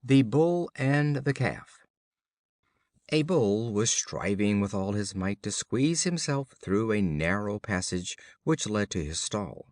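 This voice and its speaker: A man reading children's fables as an audiobook narrator, in a measured, formal storybook style. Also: on the low side, animated, darkish tone, no room sound, studio quality.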